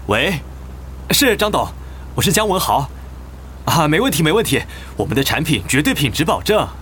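A man's voice: on the high side, sing-song, moderate pace, a bit bright, slight background noise. An actor playing a pushy, pragmatic businessman husband with a Taiwanese accent and a glib, persuasive manner in a radio drama. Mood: glib, eager. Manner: glib, persuasive, exasperated when crossed. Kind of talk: Mandarin radio drama acting.

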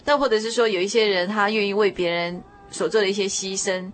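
A woman with a Taiwanese accent hosting a conversational radio show in an earnest, expressive manner. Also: mid-range voice, a little fast.

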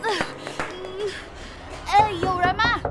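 A woman's voice: extremely high pitch, fairly even intonation, extremely slow, over loud music, exaggerated voice. A woman acting in an audio drama as a naive, whiny young dormmate. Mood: desperate.

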